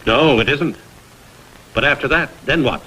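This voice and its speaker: An actor playing a proud, blunt, self-made father in a vintage crime drama, wary and curt with a skeptical, forceful manner. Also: mid-range voice, measured pace, poor audio. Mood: coldly skeptical. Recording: vintage TV soundtrack, faint noise.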